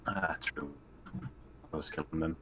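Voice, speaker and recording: A man's voice: a bass voice, steady tone, measured pace, sharp tone, a little hiss or hum. A man chatting casually as a player in a tabletop game, hesitant and offhand.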